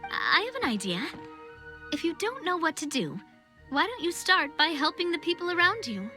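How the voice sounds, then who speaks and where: A woman's voice: very high voice, very animated, moderate pace, sharp tone, heavily acted. A woman playing a confident, kind young queenly leader in a video game, with a theatrical, upbeat delivery. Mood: encouraging. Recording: music under the voice.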